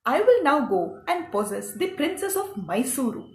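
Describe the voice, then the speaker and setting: A woman voicing a bossy, threatening male demon in a folk tale, commanding and deliberate. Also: sits high, sing-song, a little mellow, roomy sound. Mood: scheming, instructing. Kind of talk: folk tale character acting.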